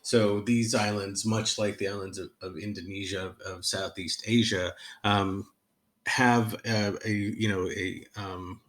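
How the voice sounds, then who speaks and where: A deep-voiced man lecturing on history to a class. He talks off the cuff, stopping often with fillers, restarting phrases and searching for words, and his pitch sits very low. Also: steady tone, a bit bright. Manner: halting, many fillers and restarts. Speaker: history professor. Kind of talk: unscripted lecture. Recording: recorded lecture.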